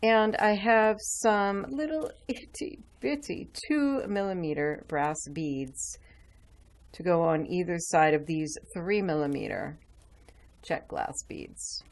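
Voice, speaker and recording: Lower-voiced woman explaining a craft. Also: expressive, takes it slowly. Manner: chatty, explains while working with her hands. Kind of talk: relaxed step-by-step craft demonstration.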